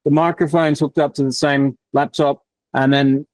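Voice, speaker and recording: A man walks through a technical demo on a video call, stopping and restarting often. His voice sits a little high. The sound is dry and close, with almost no room echo. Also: little pitch movement, darkish tone. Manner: halting, many fillers and restarts. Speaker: workshop presenter. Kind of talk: casual technical explanation.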